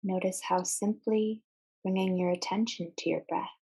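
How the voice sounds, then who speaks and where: A woman leading a guided meditation, giving measured instructions in short phrases with deliberate pauses. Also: on the low side, steady tone, vocal fry, dark tone, slightly rough, poor audio.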